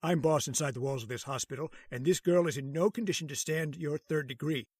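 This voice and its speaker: A man acting a calm, learned hospital doctor in a radio horror drama, speaking in a measured, authoritative way. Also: fairly high pitch, breathy edge, no echo. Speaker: voice actor.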